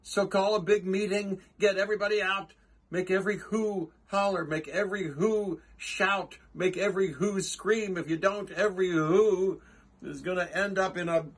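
Storybook elephant, big-hearted and earnest, high husky voice calling out emphatically, acted by a man. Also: slow pace. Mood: alarmed pleading. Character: big kind earnest elephant, pleading and urgent.

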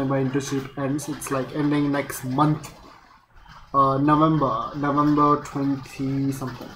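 A man's voice: medium pitch, fairly bright tone. A nineteen-year-old man with an Indian accent chatting casually and off the cuff in a vlog, often interrupting himself. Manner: rambling, self-interrupting, casual swearing. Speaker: YouTube vlogger, student. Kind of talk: casual unscripted vlog chat. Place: small bare room indoors. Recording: noisy room with background rain.

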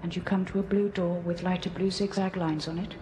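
A woman's voice: fairly low pitch, flat delivery, brisk, background noise, lightly acted. An actress playing a cool, manipulative female computer persona in a science fiction radio drama, speaking in formal, precise sentences. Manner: matter-of-fact, formal, precise wording.

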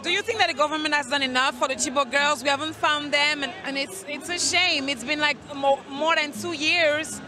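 A woman's voice: sits high, fairly even intonation, brisk, few pauses. A woman interviewing people at a street parade, chatty and informal. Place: crowded outdoor city parade. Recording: loud music and crowd behind.

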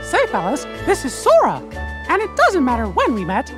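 A cheerful cartoon mouse king with a falsetto squeak. He sounds earnest and upbeat, swinging widely in pitch at an unhurried pace. Soft game music plays behind. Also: wildly swooping pitch, full character voice. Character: cheerful high-voiced cartoon mouse king, adult male.

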